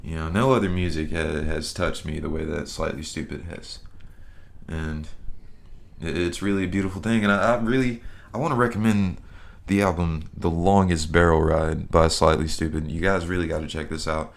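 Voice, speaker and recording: A man's voice: a bass voice, fairly even intonation, normal speed, creaky. A young man hosting a podcast, talking in a loose, rambling way and swearing casually. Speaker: podcast host. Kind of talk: casual solo podcast chat.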